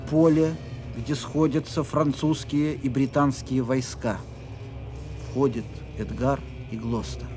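A man's voice: mid-range voice, steady tone, slow pace, music in the background. A man narrating the scene directions of a radio play in scripted style.

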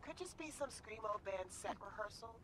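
A woman's voice: mid-range voice, expressive, moderate pace, close to an everyday voice. A woman playing a knowing, streetwise tipster in a video game, wry and slangy. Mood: wry, ominous. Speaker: game character. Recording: game dialogue with street ambience noise.

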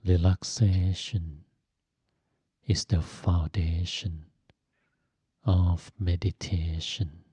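Man, soft dark husky voice, guiding meditation super slowly like a monk teacher. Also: low-pitched, level tone, no room sound. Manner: gentle, instructive, phrase by phrase with long pauses. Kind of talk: guided meditation.

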